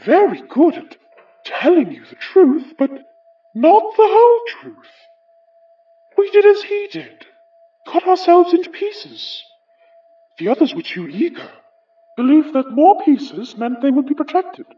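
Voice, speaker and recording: Ghostly English gentleman, British accent, very unhurried, wistful, formal, audio horror drama, dull distant sound with music behind. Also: sits high, very animated. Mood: wry, musing. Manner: measured, formal, musing, ornate phrasing. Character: summoned remnant of an English gentleman, wry, theatrical, wistful.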